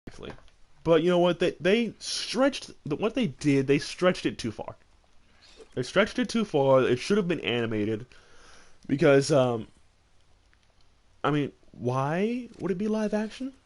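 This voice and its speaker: A man's voice: a little high, very animated, unhurried, pauses often. A man chatting casually as host of a gaming discussion, rambling and emphatic, often repeating phrases for stress. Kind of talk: casual chat, opinionated commentary.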